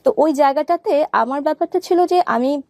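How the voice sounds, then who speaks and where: Female interview guest, middle-aged, Bangladeshi. Higher-pitched, reflective and sincere, mixes in English, very dry recording. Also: brisk, a little mellow, no echo. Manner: earnest, code-switches often into English. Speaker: interview guest, doctor and parenting content creator. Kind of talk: reflective personal talk in an interview.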